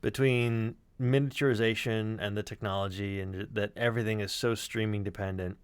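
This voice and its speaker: A man talking casually as a podcast sound designer, telling stories in an animated, sweary way. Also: fairly low pitch, normal speed, smooth, dry recording. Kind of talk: casual chat and storytelling.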